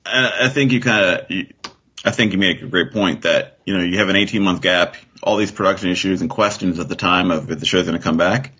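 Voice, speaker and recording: A man's voice: deep voice, a little fast, pushed and bright, slightly rough. A man chatting casually as a podcast guest, offering opinions in a hesitant, hedging way over slightly dull audio.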